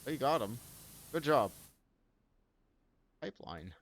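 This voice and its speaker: A man's voice: mid-range voice, very animated, takes it slowly, a little hiss or hum. A young man hosting a casual gaming stream, rambling and laughing often as he plays. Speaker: streamer host.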